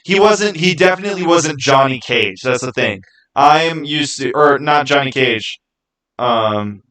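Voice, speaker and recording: A man's voice: medium pitch, a bit bright. A man hosting an unscripted, casual gaming commentary, stating his opinions emphatically and repeating phrases to make his point. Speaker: video host giving his opinions.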